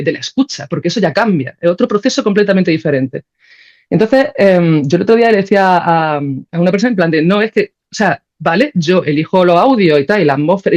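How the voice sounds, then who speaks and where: A woman with a Castilian accent talks quickly in a casual, animated way. She explains her ideas colloquially, checking that the listener follows. The recording sounds slightly muffled. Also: mid-range voice. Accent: Spain. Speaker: podcast guest, sound artist.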